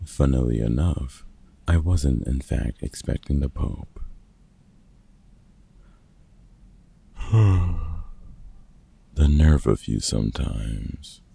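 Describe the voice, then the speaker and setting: A man playing a gruff, grudgingly kind vampire hunter in a roleplay audio drama, dry and sarcastic, with a gravelly, husky voice. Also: extremely low pitch, animated, takes it slowly, dark tone, exaggerated voice. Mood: exasperated, sardonic.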